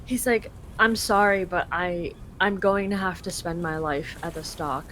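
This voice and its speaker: A woman's voice: fairly low pitch, fairly even intonation, unhurried, a bit bright, slight background noise. A young woman from Canada translating a game live for a friend, chatty and full of fillers.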